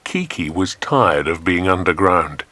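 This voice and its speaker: Low, expressive English male storyteller. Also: no room sound. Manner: dramatic, measured storytelling. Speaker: audiobook narrator. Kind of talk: expressive scripted children's story narration.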